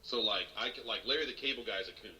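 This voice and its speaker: A man talking as a guest on a casual podcast, chatty and quick to laugh. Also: on the low side, a crowd in the background, poor audio. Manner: laughs often, loose and chatty.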